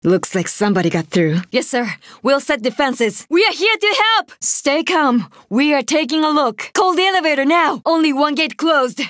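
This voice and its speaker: A woman's voice: high voice, sing-song, normal speed, few pauses, fairly bright tone, no echo, studio quality. An actress playing an earnest, resolute young female rescue soldier in a video game, speaking in military callouts. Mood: focused, brisk. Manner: earnest, urgent, military radio phrasing. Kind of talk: video game voice acting, barked combat callouts.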